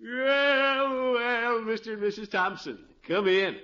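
An actor playing a pompous, fussy but good-natured middle-aged uncle in a 1950s radio comedy, blustery and chuckling. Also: male voice, very high-pitched, fairly even intonation, slow, sharp tone, heavily acted. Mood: fussy, genial. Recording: muffled old radio broadcast.

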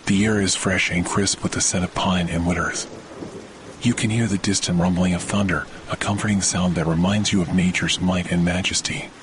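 A man narrating a guided sleep meditation in a slow, soothing, measured way with long pauses. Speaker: meditation podcast host. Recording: rain and thunder noise throughout. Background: steady rain and rumbling thunder.

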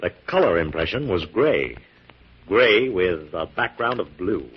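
An actor playing an assured, methodical scientist hero with a measured, authoritative manner, in 1940s radio drama style. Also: male voice, low-pitched, expressive, full character voice. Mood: businesslike, assured. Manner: crisp dictation, measured, authoritative. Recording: dull, muffled 1940s radio recording.

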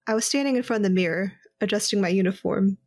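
A woman's voice: medium pitch, fast talker. A woman narrating an audio drama in the first person, with a dry, wry manner. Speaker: narrator and character.

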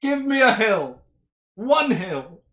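A man's voice: high-pitched, big pitch swings, slow, bright, forceful tone, voice pushed up, heavily acted. A man puts on a flustered male game character who maps the land. His voice rises in exasperated protest, hesitant but insistent.

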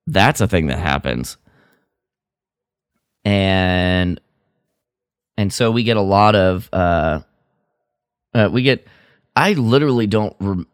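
Slow-talking man, low clear voice. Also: dry recording, clean studio sound. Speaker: podcast co-host. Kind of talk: casual chat recapping a story.

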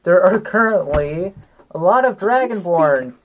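A woman with a low voice plays a tabletop game, talking casually and slowly through her moves. The recording has clear background noise and clicks. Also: lively intonation, lo-fi sound. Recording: noisy group recording with clicks.

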